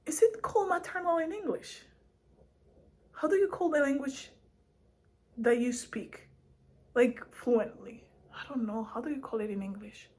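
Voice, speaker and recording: Chatty young woman with a slightly high voice. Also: slow pace, pauses often, darkish tone. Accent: Madrid Spanish. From Spain. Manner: chatty and playful, often laughing, hopping between languages. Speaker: vlogger. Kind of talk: casual chat to camera.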